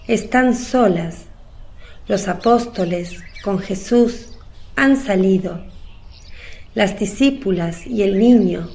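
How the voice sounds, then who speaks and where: A woman narrator tells a religious radio story. She is mid-pitched and unhurried, measured and solemn. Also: vocal fry, a little mellow.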